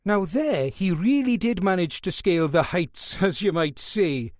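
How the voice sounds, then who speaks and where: Welsh guard, high and genial, gossipy; a male reader doing the voice. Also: big pitch swings, warm tone, no echo, studio quality. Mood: enthusiastic. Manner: genial, chuckling, quick to talk. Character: chatty Welsh prison guard, boxing fan.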